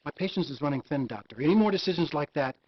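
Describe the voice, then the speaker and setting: A man's voice: a little high, expressive, fast, breathy edge. A man acting as a stern supervising doctor in a live-action hospital game. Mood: stern, disappointed. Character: stern supervising doctor judging a subordinate's work. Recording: dull lo-fi game video audio.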